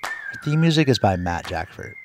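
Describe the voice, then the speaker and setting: A male radio host with a low voice reads scripted narration and credits. His pitch moves around in a lively way, and some music plays behind him. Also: moderate pace.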